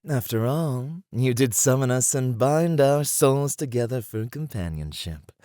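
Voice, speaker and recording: A man playing a flirty, devoted incubus demon in an ASMR roleplay, teasing his master with effusive flattery. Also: medium pitch, unhurried, no echo, clean studio sound, close to an everyday voice. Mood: adoring, flirty.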